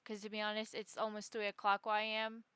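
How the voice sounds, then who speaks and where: A woman's voice: medium pitch, completely flat delivery, fast, pushed and bright, clear, resonant tone, no room sound. A woman chatting casually on a live game stream, rambling and correcting herself as she talks to her chat. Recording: music behind the voice.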